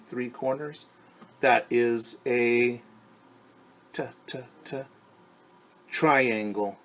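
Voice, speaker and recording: A man reading a picture book aloud to young children as their teacher, patiently asking them questions and pausing for answers. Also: medium pitch, a bit bright. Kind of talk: children's storytime read-aloud.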